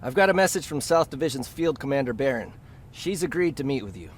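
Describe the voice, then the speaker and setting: A man playing a brisk, helpful resistance officer in a video game, speaking in a businesslike way. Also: mid-range voice, lively intonation, natural voice. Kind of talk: video game dramatic acting.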